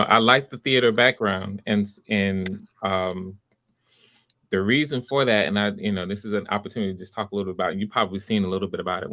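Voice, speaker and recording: Male audition host, fairly low pitch, casual and encouraging, talking conversationally on a livestream. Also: sharp tone. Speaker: host and casting director of live auditions. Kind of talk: casual livestream feedback and hosting. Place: live video call stream.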